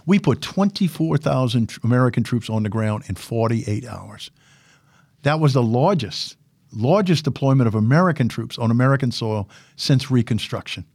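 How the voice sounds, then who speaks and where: A man's voice: medium pitch, moderate pace, creaky, husky, no echo. Older guy with a big voice telling stories on a podcast, lots of restarts, dry close sound. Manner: animated storyteller, repeats words and restarts often. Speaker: guest, former military public affairs officer and instructor. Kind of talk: casual podcast interview.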